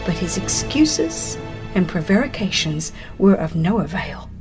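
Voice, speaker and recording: A woman reads a dramatized religious history as a narrator, in a solemn, deliberate storytelling manner. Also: lowish voice, very animated. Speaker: audiobook narrator. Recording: music behind the narration. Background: music.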